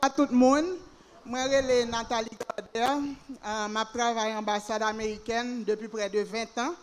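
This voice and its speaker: A woman's voice: fairly high pitch, fairly even intonation, moderate pace, a little hiss or hum. A woman cultural officer introducing herself and steadily listing the programs she supports. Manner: explanatory, lists items steadily. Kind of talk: introducing herself to a panel audience.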